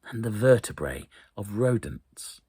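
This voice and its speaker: A man with a very low, strongly breathy and husky voice narrates an eerie tale. He takes his time, phrasing deliberately and leaving suspenseful pauses, while his intonation moves expressively up and down. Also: animated, warm tone, dry recording, studio quality. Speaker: story reader, storyteller. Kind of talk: dramatic narration of an eerie short story.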